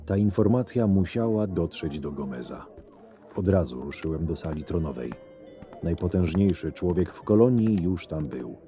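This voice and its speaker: A man playing a cynical, scheming camp henchman in an audio drama, narrating his days in a dry, measured, sardonic way. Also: low-pitched, animated, dark tone. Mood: dry, brooding. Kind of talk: first-person scripted narration. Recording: music under the voice. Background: background music.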